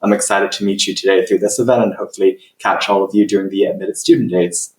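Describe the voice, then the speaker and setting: A man's voice: sits low, steady tone, fast. A young man from Malaysia and Singapore, a senior student guest, giving a friendly self-introduction with casual fillers. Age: young adult. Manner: friendly, uses "you know" fillers. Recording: echoey room, distant mic.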